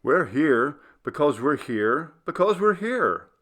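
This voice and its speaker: A man's voice: fairly high pitch, very animated, slow pace, a bit bright, pitched above the performer's own voice, heavily acted. A man voicing a hearty, wry male friend in a storybook reading, jovial and emphatic as he teases his companion. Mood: wry irony. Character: hearty, teasing male friend seeing someone off.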